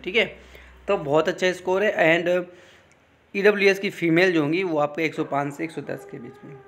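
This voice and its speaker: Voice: medium pitch, fairly bright tone. An exam-prep coach, giving an informal explainer in an instructional way, repeating points and often adding short check-in tags.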